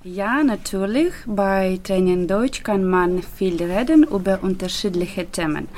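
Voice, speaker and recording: Slow-spoken woman learning German. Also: mid-range voice, darkish tone, slight background noise. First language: not German. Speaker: course participant.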